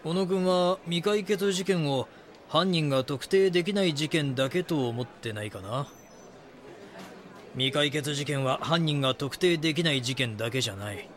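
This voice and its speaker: A man playing a calm, measured chief editor mentoring a junior in a Japanese voice drama. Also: fairly high pitch, slight background noise, natural voice. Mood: reflective. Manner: instructive, composed.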